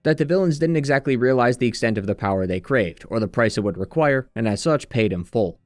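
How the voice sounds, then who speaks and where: A man reading scripted narration in a measured, earnest way, like a video essayist walking through a story retrospective. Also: mid-range voice, expressive, a little mellow, no room sound, clean studio sound. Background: background music.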